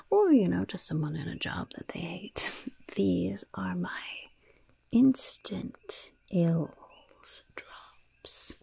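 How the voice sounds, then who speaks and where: A woman voicing a mischievous goblin shopkeeper in a breathy whisper, with coy, unhurried sales patter in an ASMR roleplay. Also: medium pitch, a little mellow, dry recording, full character voice. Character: mischievous female goblin shopkeeper selling poisons and curses.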